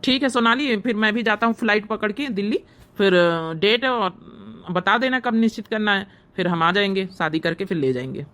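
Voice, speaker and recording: A woman's voice: mid-range voice, animated, normal speed, fairly bright tone, natural voice. A woman voicing a polite, businesslike young suitor from Delhi in a cartoon comedy. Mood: agreeable.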